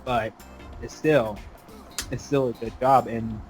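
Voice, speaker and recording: A man's voice: mid-range voice, fairly even intonation, slow. Male podcast host, mid-range voice, casual conversational delivery, music underneath. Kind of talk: casual roundtable podcast talk.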